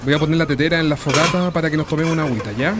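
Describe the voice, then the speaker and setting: An actor playing a dutiful grown son in a radio drama, deferential and reassuring, with a Chilean accent. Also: male voice, a little high, very fast, lightly acted. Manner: deferential, soothing. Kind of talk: Spanish-language radio drama acting. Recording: noisy outdoor ambience.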